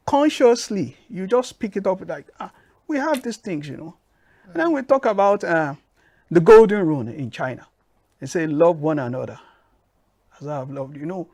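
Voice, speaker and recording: Nigerian guy in an interview, kinda high voice, dry close sound, leans into his points. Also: darkish tone, no room sound. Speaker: guest. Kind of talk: interview answers.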